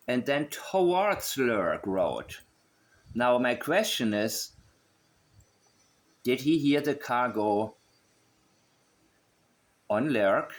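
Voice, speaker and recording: Male online host, mid-range voice, unscripted and musing, reasons aloud step by step and often repeats points. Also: mid-range voice. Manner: musing, thinking aloud, repetitive. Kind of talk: unscripted commentary while examining a map.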